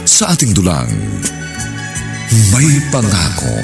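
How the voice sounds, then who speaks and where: A man's voice: low voice, wildly swooping pitch, slow. A man with a Filipino accent making a dramatic scripted announcement as a radio drama announcer. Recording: loud music under announcer.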